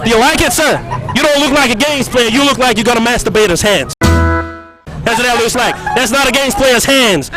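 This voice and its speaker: A man plays a cocky games host with a strikingly high voice. He is foul-mouthed and folksy, presenting like a comic roving interviewer. Music plays clearly behind him. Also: normal speed, crowd noise, rough recording. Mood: teasing. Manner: swaggering, crude, folksy. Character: brash foul-mouthed American games-show host from Milwaukee. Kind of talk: comedic presenting and street interviews. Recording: music behind, improvised handheld microphone.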